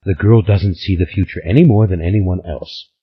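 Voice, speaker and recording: A man's voice: low-pitched, moderate pace, slightly rough. A man doing a blunt, scolding woman. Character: stern middle-aged woman, blunt and commanding. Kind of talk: audiobook character voice. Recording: slightly dull lo-fi recording.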